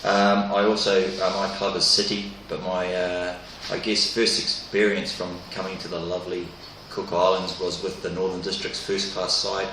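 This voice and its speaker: A man's voice: low-pitched, little pitch movement, normal speed, few pauses, pushed and bright, slight background noise. A man, a cricket player, introducing himself in a friendly, hesitant way. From northern New Zealand. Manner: friendly, hesitant, many fillers. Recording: echoey room, mic at a distance.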